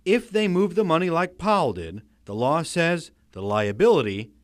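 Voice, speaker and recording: A man's voice: on the high side, big pitch swings, normal speed. A man with an American accent reading scripted news report narration as a reporter.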